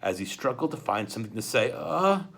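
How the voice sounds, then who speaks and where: A man reading a children's book aloud in a dramatic, expressive way, occasionally stumbling over words. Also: lowish voice, fast talker, slight background noise. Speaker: storybook reader, school principal.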